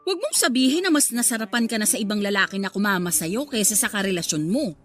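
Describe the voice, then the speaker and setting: An actress with a Filipino accent playing a blunt, judgmental friend in a radio drama, confrontational and needling. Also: female voice, medium pitch, lively intonation, quick pace, close to an everyday voice. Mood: scornful, pressing. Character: blunt, nagging female friend, pushy and judgmental. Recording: background music under voice.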